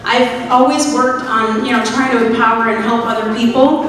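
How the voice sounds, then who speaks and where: A woman's voice: a little high, steady tone, normal speed, a bit bright. A woman from the US South with a Southern accent, speaking earnestly and encouragingly to a crowd at a community event. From Atlanta, US South. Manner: earnest, encouraging, leads the crowd in repeating phrases. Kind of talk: public speech to an audience. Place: large echoey hall, live event. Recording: echoey hall recorded from far off.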